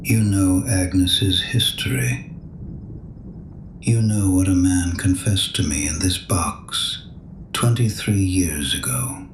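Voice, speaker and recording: An actor playing a weary, guilt-haunted elderly priest in an audio drama, quiet and measured. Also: male voice, sits low, reverberant, a little hiss or hum. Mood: grave. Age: older.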